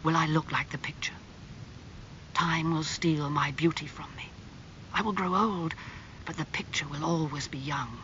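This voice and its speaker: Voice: medium pitch, steady tone, moderate pace, long pauses, pushed and bright, muffled recording, slight background noise. A narrator playing a vain, anguished young man obsessed with beauty in a dramatised audiobook. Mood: fearful brooding.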